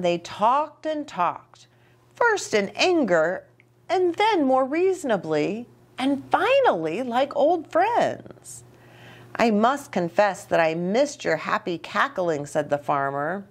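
A middle-aged American woman reading a story aloud to children, expressive and pausing to ask them questions. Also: medium pitch. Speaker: children's story time host and pastor. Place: small indoor reading nook with bookshelf and curtains.

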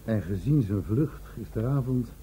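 A man playing a calm, reassuring police superior in a radio drama, brisk and questioning. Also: fairly low pitch, lightly acted. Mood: interested, probing. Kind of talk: Dutch radio drama dialogue. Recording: dull radio recording, faint noise.

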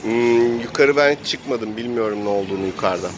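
A man's voice: mid-range voice, brisk, a crowd in the background. A man casually improvising narration as he plays a role-playing game.

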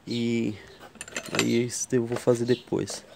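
A man from Brazil hosting a casual tool vlog at his workshop bench, slowly counting wrench sizes and chatting to viewers. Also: mid-range voice, completely flat delivery, vocal fry, background noise. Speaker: tool channel host. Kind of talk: casual show-and-tell vlog.

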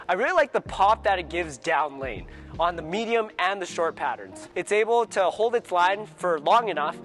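An upbeat young man with a high voice talks in short, punchy bursts about his gear. He is on a lapel mic in an indoor bowling alley, with faint music underneath. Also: lively intonation, normal speed, a bit bright. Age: young adult. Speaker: two-handed bowler presenting his arsenal. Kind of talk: casual equipment walkthrough.

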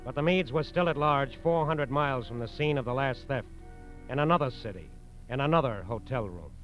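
A man's voice: medium pitch, animated, brisk, lightly acted. Muffled old-time radio narrator, a male actor. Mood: grave, authoritative. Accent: American. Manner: formal, emphatic. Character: earnest, authoritative male crime-case narrator. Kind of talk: 1940s radio drama narration. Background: dramatic music.

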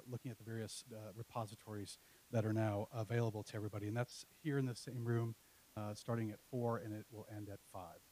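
A middle-aged man hosting an academic event, reading an introduction from notes into a handheld mic with hesitant pauses. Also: medium pitch, a little mellow, slight background noise, poor audio. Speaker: event host, university Spanish professor. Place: university classroom. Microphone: handheld microphone.

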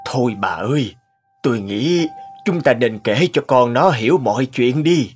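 A man playing a good-hearted, worried elderly grandfather in a cartoon, speaking earnestly and haltingly. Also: mid-range voice, animated, breathy edge, faint music behind. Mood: sheepish, hesitant. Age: older. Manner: halting, earnest, swooping intonation. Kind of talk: cartoon dialogue acting.

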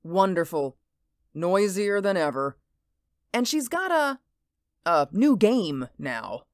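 Woman acting unhurried, lively-pitched housewife mother. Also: no room sound, studio quality. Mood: friendly. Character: indulgent suburban housewife and mother, mildly uneasy. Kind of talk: audio drama acting.

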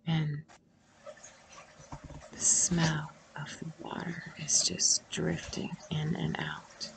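Woman doing guided meditation, really slow and soothing, super croaky vocal fry, drifts into breathy near-whisper. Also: deep voice, a bit bright, poor audio. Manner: soothing, unhurried, with long pauses.

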